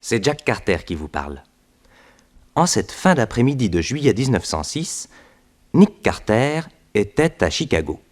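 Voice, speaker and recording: A man's voice: fairly low pitch, sing-song, measured pace. A man narrating a 1960s French radio drama from a script.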